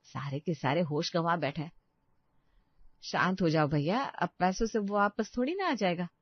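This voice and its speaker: Narrator lady as a practical auntie who gently scolds, lowish voice, dull dry recording. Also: animated, normal speed, dry recording. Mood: calming.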